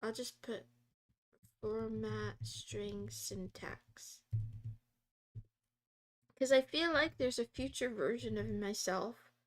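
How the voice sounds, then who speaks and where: A woman streams herself coding and chats the whole time in a rambling way, full of fillers. Her voice sits a little high and she takes her time. She answers her viewers and laughs often. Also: slow, pauses often. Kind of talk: casual live-stream chat while coding.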